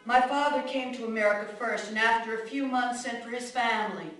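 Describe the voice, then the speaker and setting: A woman's voice: fairly high pitch, a little fast, fairly bright tone, faint music behind, close to an everyday voice. A woman playing a blunt immigrant mother, echoey. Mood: reminiscing, matter-of-fact. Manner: plainspoken, colloquial storytelling with curt commands. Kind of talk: radio drama monologue. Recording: echoey room, distant microphone.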